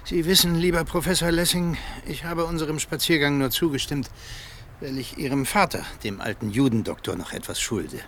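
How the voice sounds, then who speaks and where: An actor playing a haughty, hostile old field marshal, curt and contemptuous, in a German radio drama. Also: male voice, on the high side, moderate pace, fairly bright tone, a little hiss or hum.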